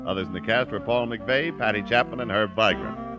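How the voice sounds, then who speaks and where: A man's voice: on the low side, brisk, lo-fi sound. A man working as a radio announcer, reading scripted sponsor copy and credits in 1950s broadcast style. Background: music.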